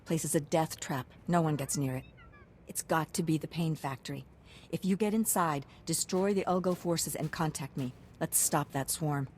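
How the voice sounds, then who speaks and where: A woman's voice: low voice, moderate pace, a little mellow, slight background noise, lightly acted. A woman playing a pragmatic, hard-nosed military science commander in a video game, clipped and businesslike. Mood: resolute. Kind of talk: video game character acting.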